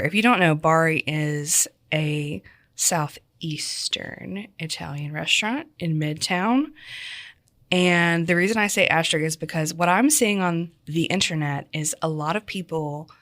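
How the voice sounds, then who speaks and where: A slightly hoarse woman hosting a dining podcast, chatting casually with jokey asides. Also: lowish voice, fairly bright tone, no echo, studio quality. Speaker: podcast host. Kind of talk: casual interview chat.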